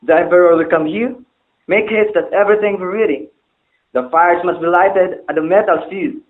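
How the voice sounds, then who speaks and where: A man acts out a dutiful but anxious old-world father and bell-maker. His voice sits fairly high, with stiff, declamatory stage phrasing. The sound is phone-like and clearly echoey. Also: normal speed, natural voice. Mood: grave, urgent. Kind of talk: amateur stage-play dialogue, formal archaic English. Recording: muffled, phone-like, echoey room.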